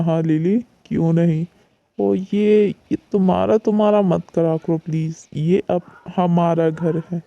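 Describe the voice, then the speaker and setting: A woman voicing a young husband who gently pleads with his mother and reassures his wife, in a low, gravelly put-on voice. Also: no echo. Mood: reassuring. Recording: dull lo-fi recording.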